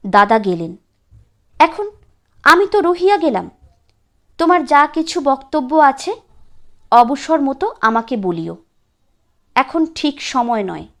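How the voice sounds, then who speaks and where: Woman playing a high-voiced, meek dutiful man. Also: big pitch swings, takes it slowly, long pauses, fairly bright tone, full character voice. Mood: firm reproach. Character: meek, dutiful, henpecked younger brother, adult man. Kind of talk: storybook character voice.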